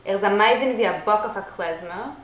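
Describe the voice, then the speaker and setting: A woman's voice: medium pitch, fairly quick. A woman reading out short folk sayings one at a time in flavoured speech. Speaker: reader of Yiddish proverbs. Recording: roomy echo with background noise.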